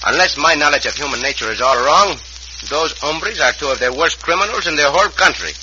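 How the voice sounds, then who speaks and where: An actor playing a dashing, confident Western adventurer with a Mexican Spanish accent and formal phrasing, in old-time radio style. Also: male voice, a little high, expressive, brisk, pushed and bright, dull audio. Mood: amused, assured. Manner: formal, precise, bold phrasing. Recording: noisy vintage radio recording.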